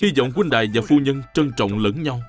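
A man's voice: mid-range voice, expressive, fairly quick, faint music behind, lightly acted. An actor playing a humble, upright young male scholar in a historical cartoon, formal, polite and earnest. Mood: modest. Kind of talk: animated historical cartoon dubbing.

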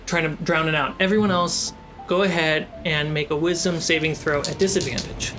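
A man acting as game master, narrating a tabletop fantasy game in suspenseful phrases broken by pauses. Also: a little high, fairly even intonation, over music.

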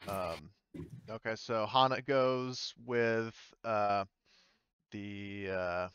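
Low voiced guy running a tabletop game, kinda searching for words, dry and a bit dull sounding. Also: very slow, fairly bright tone, smooth, dry recording. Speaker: game master. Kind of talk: narrating a tabletop roleplaying game.

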